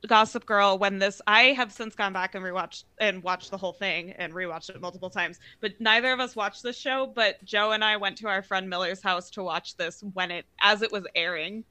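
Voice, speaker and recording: Bright, dry-sounding woman bantering wryly. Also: medium pitch, clear, resonant tone, no room sound. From Pittsburgh. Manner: wry, quick banter. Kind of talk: casual podcast chat.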